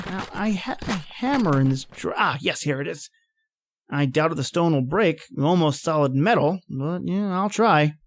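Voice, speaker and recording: A woman's voice: low-pitched, expressive, normal speed. A woman playing an impatient, rational professor in a radio horror play, with a theatrical, exclamatory manner. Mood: confident, condescending. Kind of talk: old-time radio horror acting.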